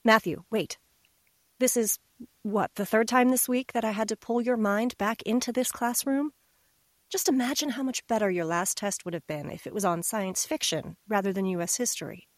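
A woman's voice: on the high side, normal speed, dry recording, clean studio sound, close to an everyday voice. A woman playing a stern but caring schoolteacher in an audio drama, admonishing and firm. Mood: stern, disappointed. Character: stern, caring female schoolteacher chiding a student.

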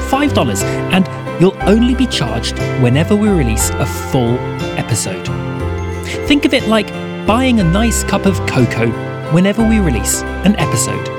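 Animated man reading over music. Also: on the high side. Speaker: podcast presenter reading appeal and credits. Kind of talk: scripted podcast outro. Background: music under the voice.

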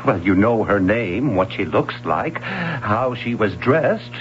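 A man's voice: lowish voice, lively intonation, takes it slowly. An actor playing a defensive, easily riled father of a missing daughter in a radio drama, blunt and indignant. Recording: muffled radio drama recording with music.

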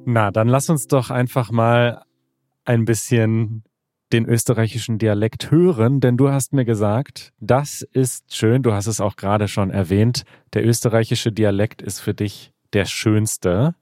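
Low-voiced German man hosting a podcast. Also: steady tone, a little slow, a little mellow, dry recording, studio quality. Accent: standard German. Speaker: podcast host. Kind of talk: casual conversational interview.

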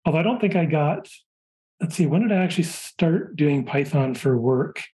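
A man in his forties, a software engineer guest on a podcast, telling chatty anecdotes in a relaxed way. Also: on the high side, moderate pace. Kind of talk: casual conversational interview.

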